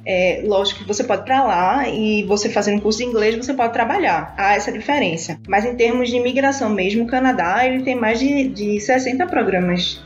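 A woman from Recife with a Pernambuco accent, telling her story as a guest in a casual podcast interview, chatty and quick to laugh. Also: fairly high pitch, lo-fi sound, faint music behind. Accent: Pernambuco Portuguese. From Recife, Brazil.

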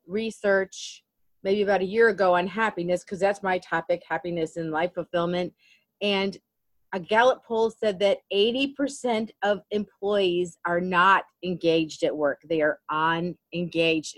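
A woman's voice: on the low side, fairly even intonation, measured pace, no echo. Eager woman podcast host. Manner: eager, encouraging. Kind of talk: interview hosting.

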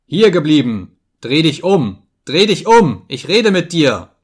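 Man acting an enraged master, roaring and high-strung. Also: big pitch swings, unhurried, breathy edge, full character voice. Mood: furious. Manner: shouting in commands, repeating himself furiously. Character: enraged, proud, overwrought master raging at his servant.

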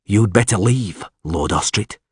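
A man voicing a calm, terse, stony monster hunter in an audiobook, speaking in an unmoved, low-key way. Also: a little slow, breathy edge, dry recording, studio quality, exaggerated voice. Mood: calm warning. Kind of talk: audiobook character acting.

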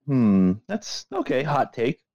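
A man's voice: medium pitch, wildly swooping pitch, slow pace, darkish tone, dry recording, lo-fi sound. A young man co-hosting a casual podcast, chatting in a joking, teasing way. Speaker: podcast co-host. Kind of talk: casual chat.

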